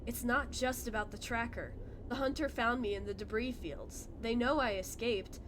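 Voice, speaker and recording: Woman reading as a quiet, fearful runaway android. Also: steady tone, moderate pace, noisy background, natural voice. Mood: grim, resolute. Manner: quiet, low-key reading. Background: game music.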